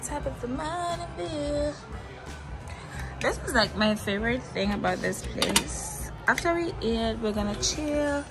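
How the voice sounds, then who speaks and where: A woman's voice: sits high, animated, measured pace, loud music behind the voice. A young woman chatting casually on her travel vlog, rambling with fillers, filmed on her phone in a hotel villa. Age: young adult. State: slightly stuffy with a flu. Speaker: vlogger. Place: luxury hotel villa, indoors. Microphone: phone held in mirror selfie.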